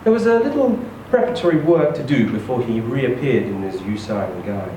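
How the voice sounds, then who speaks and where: A man reading a short story aloud in an expressive, theatrical, emphatic storytelling style. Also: mid-range voice, fairly quick. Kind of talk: expressive live literary reading. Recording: echoey room, distant mic, faint noise.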